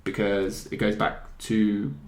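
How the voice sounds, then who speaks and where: A man's voice: on the low side, flat delivery, slow pace. A man co-hosting a podcast, talking informally in a casual discussion and feeling his way through his phrasing. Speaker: podcast co-host. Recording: echoey room.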